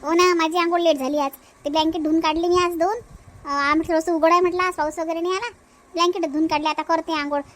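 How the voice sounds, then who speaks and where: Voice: very high voice, fairly even intonation, fast talker, fairly bright tone. A performer voicing a chatty young married village housewife in a cartoon family comedy, with a childlike voice and a colloquial Vidarbha Marathi accent.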